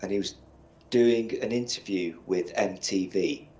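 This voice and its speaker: A middle-aged man with a Northern English accent and a low-pitched voice. He chats in a loose, rambling way, blunt and quick to swear as he tells his stories. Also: darkish tone. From Northern England. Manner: blunt, swears freely, meandering storytelling. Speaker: podcast co-host. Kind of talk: casual chat.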